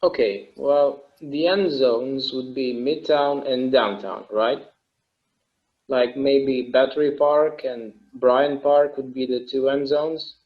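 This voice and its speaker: A man's voice: medium pitch, lightly acted. A man playing an easygoing, imaginative husband in a casual stage play table read, on a slightly dull microphone. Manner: casual, musing, agreeable. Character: easygoing, imaginative husband who enjoys inventing games.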